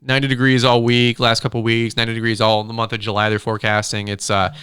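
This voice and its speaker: Fast-talking, bright-voiced man hosting a podcast. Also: medium pitch, completely flat delivery, clear, resonant tone, no room sound. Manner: rambling, informal, bro-ish slang. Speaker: podcast host. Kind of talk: casual upbeat podcast chat. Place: podcast studio, indoors. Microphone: close studio microphone.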